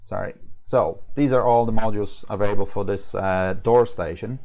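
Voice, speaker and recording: A man who speaks English as a second language presents slides informally as a product trainer, haltingly, often repeating and rephrasing himself. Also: on the low side, steady tone, gravelly, darkish tone. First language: not English.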